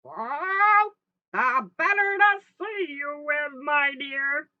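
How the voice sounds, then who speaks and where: A woman voicing a sly, hungry male wolf from a storybook, with an exaggerated, drawn-out, wheedling and falsely friendly delivery. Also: high voice, lively intonation, dull audio, pitched above the performer's own voice, full character voice. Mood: disguised, menacing.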